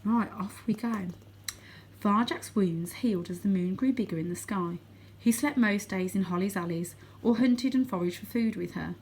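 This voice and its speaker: A woman narrating a children's book aloud as a storyteller, with expressive delivery. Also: medium pitch, normal speed, a little hiss or hum, rough recording. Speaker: storybook reader.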